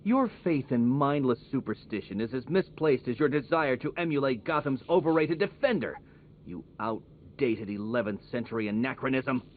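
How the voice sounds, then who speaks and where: A man's voice: mid-range voice, normal speed, narrow, tinny audio, slight background noise, natural voice. Pompous, manic supervillain genius, acted by a man, thin audio. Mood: haughty contempt. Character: arrogant, manic male supervillain genius, petulant and obsessive. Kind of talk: video game villain acting.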